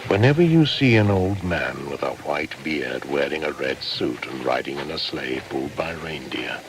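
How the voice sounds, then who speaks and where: A man's voice: a bass voice, expressive, normal speed, noisy background. An actor playing an ancient, menacing shaman spirit in a radio play, speaking in a solemn, incantatory, portentous manner. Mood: ominous, commanding.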